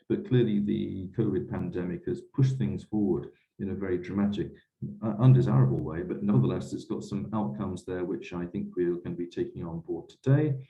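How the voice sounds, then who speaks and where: Man, webinar moderator, very low voice, dark mellow tone, formal and halting, echoey room, dull recording. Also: creaky. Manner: measured, formal, hesitant.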